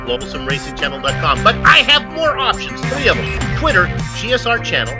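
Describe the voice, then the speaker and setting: A man hosting a sim racing broadcast, upbeat and energetic in his announcer delivery. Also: high-pitched, brisk. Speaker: broadcast commentator and host. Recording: music bed playing under voice. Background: loud music.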